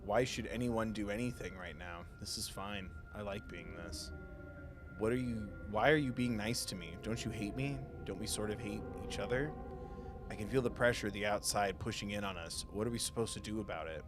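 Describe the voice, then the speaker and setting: A man's voice: lowish voice, brisk. A man streaming a game live, chatting casually and muttering dialogue choices aloud. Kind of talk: live let's play, casual chat and reading choices aloud. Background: clear game music.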